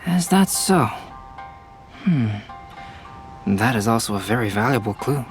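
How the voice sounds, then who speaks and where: A man voicing a calm, analytical young man in a video game, speaking in a measured, formal, deliberate way. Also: medium pitch, faint music behind. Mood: pensive. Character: calm, analytical young male alchemist and illustrator.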